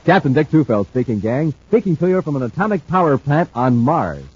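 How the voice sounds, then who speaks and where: A man's voice: fairly high pitch, very animated, fairly quick. An American man announcing and narrating in a punchy, theatrical 1950s radio style. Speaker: radio announcer and sponsor pitchman. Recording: dull old radio recording, faint noise.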